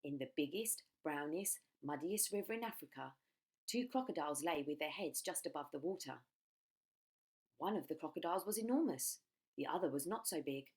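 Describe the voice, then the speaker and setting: A woman reading a children's storybook aloud in an expressive narrating style, like a primary school storytime. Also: sits low, fairly quick, husky.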